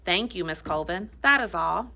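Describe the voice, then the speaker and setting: Bright-voiced earnest lawyer read by a woman. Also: fairly low pitch, expressive, moderate pace, gravelly, slight background noise, heavily acted. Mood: formal. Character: earnest, courteous male civil rights lawyer.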